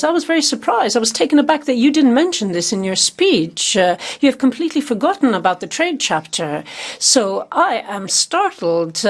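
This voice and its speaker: A woman interpreting a parliamentary speech in a measured way, with frequent fillers. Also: medium pitch. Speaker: simultaneous interpreter.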